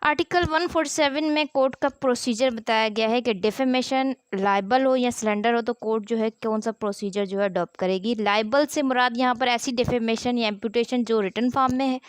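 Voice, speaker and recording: A woman's voice: fairly high pitch, fairly even intonation, fairly quick, clean tone, no room sound. A woman gives a law lesson. She explains in a didactic, step-by-step way, repeating herself and posing questions as she goes. The sound is close and dry, with very little room. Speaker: law lecturer. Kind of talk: explanatory law lesson, reading statute articles then explaining them.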